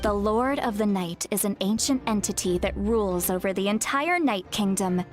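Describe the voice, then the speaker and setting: A woman playing a self-assured female oracle in a video game, speaking in a measured, formal, authoritative manner. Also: mid-range voice, faint music behind, natural voice. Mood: matter-of-fact. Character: self-assured, solemn female oracle shaman, briskly dismissive. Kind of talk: video game character acting.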